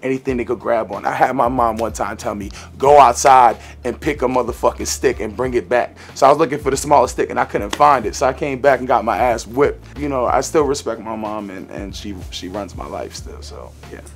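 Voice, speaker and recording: A man's voice: mid-range voice, a little fast, few pauses, soft background music. A young man talking casually in an interview, laid-back, swearing freely and filling gaps with "uh" and "you know". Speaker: rapper guest. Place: studio, green-screen set.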